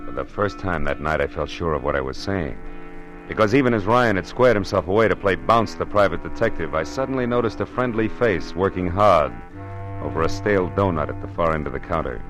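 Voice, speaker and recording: A man's voice: low voice, expressive, fairly quick, music in the background. An actor playing a tough, wisecracking private eye who narrates his own case in first person, in old-time radio drama style. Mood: narrating. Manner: hard-boiled, wry first-person narration. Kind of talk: 1940s radio drama acting.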